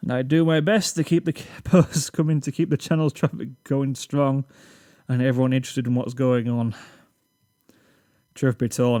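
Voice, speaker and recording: A man's voice: mid-range voice, a little mellow, dry recording, clean studio sound. A British man reading a scripted reflection to his channel's viewers in a rambling, self-deprecating, slightly awkward way, sitting at a desk microphone. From Britain. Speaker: YouTube channel host.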